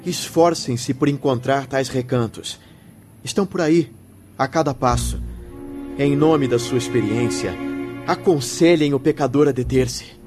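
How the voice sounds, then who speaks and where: An actor playing a kindly, earnest spirit mentor in a radio drama, speaking in solemn, formal exhortation. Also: male voice, medium pitch, measured pace, darkish tone, faint music behind, natural voice.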